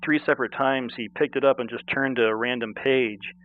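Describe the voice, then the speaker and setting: A man's voice: medium pitch, steady tone, brisk. A man conducting a casual phone interview in a chatty, agreeable way, heard over a phone line. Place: phone call.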